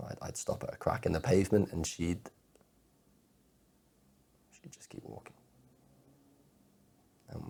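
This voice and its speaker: A heartbroken, anxious man played by a male actor delivers a confessional monologue in a very deep, dark voice. He stammers over repeated words as his obsessive thoughts intrude, and his tone is husky and rough. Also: full character voice. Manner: halting, stammering repetition of words. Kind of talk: dramatic monologue performance of spoken-word poetry.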